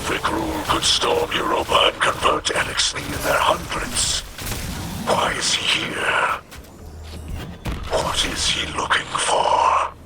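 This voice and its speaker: Fierce alien war leader, gravelly growl, a bit high for a man, commanding, game dialogue. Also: very animated, takes it slowly, full character voice. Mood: stern, urgent. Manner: stern, commanding. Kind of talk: video game character dialogue. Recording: loud music behind. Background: game music.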